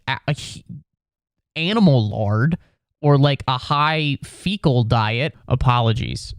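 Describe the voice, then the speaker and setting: A man in his twenties, sick with a cold, hosting a comedy podcast from home in a profane, exasperated rant with sudden squeaky outbursts. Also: dry recording, clean studio sound. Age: 20s. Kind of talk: ranting comedic monologue. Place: home room.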